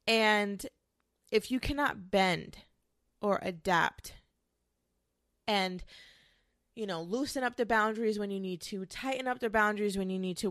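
A woman's voice: mid-range voice, fairly bright tone, dry recording, clean studio sound. A young woman with a congested, nasal voice from a cold, giving frank, chatty advice as a podcast host and swearing casually.

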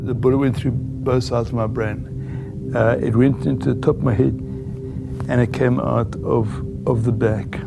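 An older man with a Southern African accent recounts his personal story. He speaks haltingly and reflectively at a medium pitch, with music clearly playing behind him. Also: steady tone. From Zambia. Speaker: guest giving a testimony.

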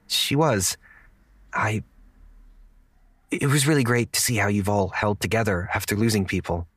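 A man playing a halting, apologetic young man. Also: lowish voice, fairly bright tone, slightly rough, dry recording. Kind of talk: audio drama acting.

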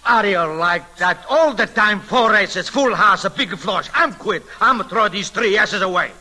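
An actor playing a suspicious Greek card player with a Greek accent and blunt broken English, in 1940s radio comedy style. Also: male voice, sits high, lively intonation, unhurried, sharp tone, exaggerated voice. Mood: grumbling.

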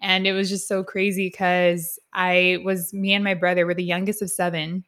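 A woman's voice: on the low side, flat delivery, normal speed, a bit bright. A woman host from San Diego in a casual interview, chatty and laughing through her own anecdotes. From San Diego, USA. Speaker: podcast host.

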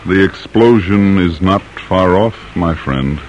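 An actor playing a grave, eloquent colonial-era doctor and patriot in an old radio drama, speaking in a measured, solemn way. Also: male voice, deep voice, slight background noise. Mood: grave urgency.

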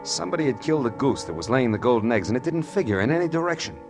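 An actor playing a wry, terse, cool-headed hard-boiled private detective who narrates a 1940s radio noir. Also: male voice, medium pitch, very animated, very fast, over music. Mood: grim, narrating. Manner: terse, wisecracking.

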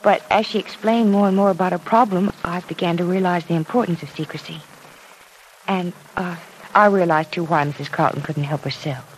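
A woman's voice: lowish voice, fairly quick, lightly acted. An actress playing a teasing, good-natured young woman in an old-time radio drama, talking in a candid, conversational way. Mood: earnest, explaining. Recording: noisy vintage radio broadcast recording.

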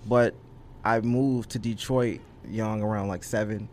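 A man's voice: on the low side, fairly even intonation, unhurried, a little mellow, a little hiss or hum. A young man from California, a guest on a podcast, telling his story in an earnest, rambling way full of ums and you knows. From California, USA. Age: young adult. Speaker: rapper, guest. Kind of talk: casual interview chat.

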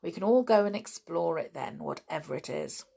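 A sensible older boy soothes the others in a calm, reassuring way, as a woman reads his lines. His voice sits low with a gravelly, husky edge. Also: normal speed, heavily acted.

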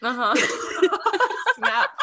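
A woman's voice: very high-pitched, very animated, very slow, creaky, sharp tone. A young adult woman whose first language was Romanian, a podcast guest talking casually, rambling with many fillers, restarts and frequent laughs. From United States. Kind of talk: casual interview chat.

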